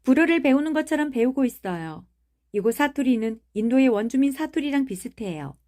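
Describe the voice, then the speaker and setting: A woman's voice: high voice, animated, fairly quick, dark tone, pitched above the performer's own voice. A woman voicing a blunt, cold-mannered little girl in an audiobook. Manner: curt, cold. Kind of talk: audiobook character reading.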